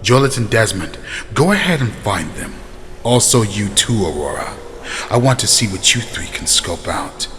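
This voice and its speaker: A man voicing a calm, watchful vampire clan elder who gives measured, authoritative orders in a scripted fantasy drama. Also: fairly low pitch, soft background music. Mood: commanding. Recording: long reverb, faint background noise.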